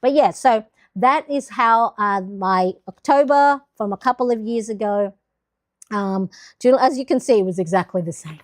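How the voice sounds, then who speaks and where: A woman's voice: mid-range voice, normal speed. Chatty woman on a planner livestream. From Philippines. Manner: chatty, rambling with many fillers and restarts. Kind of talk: casual livestream chat.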